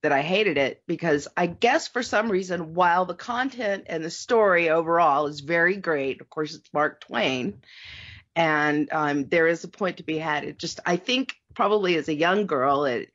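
A middle-aged woman with a fairly low voice talks casually on a podcast panel. Her pitch moves around a lot as she wanders through her thoughts. The audio is slightly dull. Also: lively intonation, moderate pace, fairly bright tone. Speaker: podcast panelist reviewing old radio shows. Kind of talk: casual chat.

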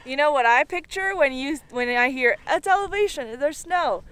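A woman's voice: high-pitched, expressive, moderate pace, a bit bright. A young woman chatting outdoors on a snowy trail, grumbling with dry humor. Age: young adult. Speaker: fellow motorcyclist. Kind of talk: casual chat.

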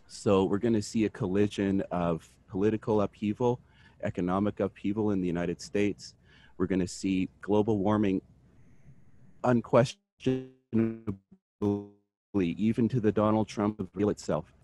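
Deep-voiced man speaking earnestly. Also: steady tone, mellow, dry recording. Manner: earnest, halting with fillers and repeats. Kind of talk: casual discussion. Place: online community call.

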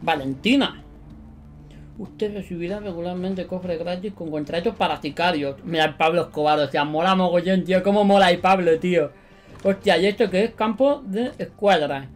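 High-voiced young Spanish gamer. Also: male voice, normal speed, faint music behind. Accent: Spanish from Spain. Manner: animated, slangy, repeats himself. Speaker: gaming YouTuber. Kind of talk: excited gameplay commentary. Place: bedroom studio indoors.

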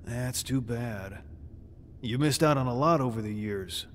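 A man playing a kindly, devout highway worker and friend in a radio drama. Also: measured pace, lightly acted. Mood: kindly, encouraging. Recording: long reverb, faint background noise.

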